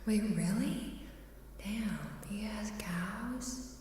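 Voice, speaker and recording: A woman talks in a soft, mellow ASMR voice that often slips into whispers. She takes her time, with a playful, coy manner. The sound is heavily echoing and a little distant, with faint ambience behind her. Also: medium pitch, very slow. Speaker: ASMR live streamer. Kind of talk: ASMR casual chat with whispering. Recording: reverberant hall-like echo, faint hiss, distant.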